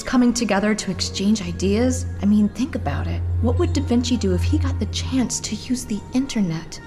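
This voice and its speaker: Actress as earnest, headstrong young heroine. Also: female voice, a little high, a little mellow, close to an everyday voice. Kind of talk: audio drama acting.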